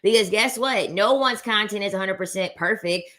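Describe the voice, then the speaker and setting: A woman's voice: mid-range voice, normal speed, sharp tone. A woman content creator giving blunt, emphatic commentary on a debate clip, repeating her points and swearing for emphasis.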